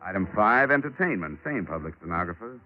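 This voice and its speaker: A hard-boiled, wisecracking insurance investigator with a lowish voice and a dry, weary edge. Heard in an old 1940s radio drama, a little muffled, with loud background music swelling under him. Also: male voice, big pitch swings, fairly quick. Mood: wry. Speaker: narrator and lead.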